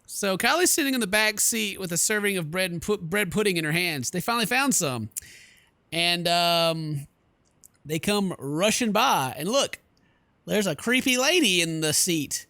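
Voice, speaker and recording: A man's voice: high-pitched, lively intonation, normal speed, sharp tone, no echo. A man giving playful, joking let's-play commentary as host, slipping into mock voices. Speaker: gaming video host.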